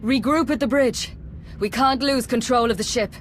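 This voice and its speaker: Space opera game commander, played by a woman: fairly high voice, composed, decisive, clipped orders, faint noise behind. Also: moderate pace, lightly acted. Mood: commanding. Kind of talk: video game voice acting.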